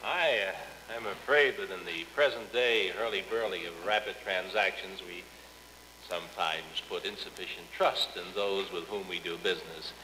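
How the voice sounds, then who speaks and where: A self-important radio expert with a bright, forceful voice. He gives absurd advice in polite, long-winded phrases. It is a vintage comedy skit with long reverb and faint noise. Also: low voice, moderate pace. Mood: pompous, mock-polite. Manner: pompous, mock-polite, wordy. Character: pompous, unhelpful radio answer-man giving absurd advice. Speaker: question-answering radio expert. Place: radio studio. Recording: noisy old radio record, long reverb.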